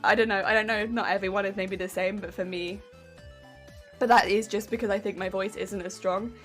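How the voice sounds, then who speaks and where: A woman streamer chatting casually while she crafts, with a weak, warbly voice that is a little congested from hay fever, chatty and giggly as she greets her viewers. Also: mid-range voice. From United Kingdom. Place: home craft room.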